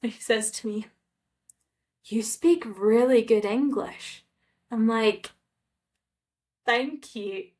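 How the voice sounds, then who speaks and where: A woman's voice: fairly high pitch, takes it slowly, pauses often, darkish tone. Casual Scottish woman telling a story. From Scotland. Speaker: travel vlogger. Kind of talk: casual personal storytelling to camera.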